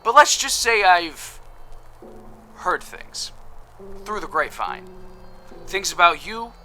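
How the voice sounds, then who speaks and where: A man's voice: high-pitched, very animated, takes it slowly, sharp tone, soft background music, full character voice. An actor playing a cheerful, boastful male apothecary suspect in an audio drama, with a chipper, self-satisfied, theatrical manner. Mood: smug.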